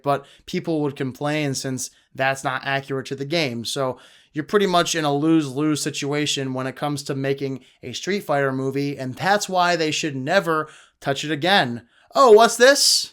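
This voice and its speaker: A man's voice: a little high, fairly bright tone, smooth. A young man giving conversational movie review commentary as a video host, opinionated with mildly sarcastic asides.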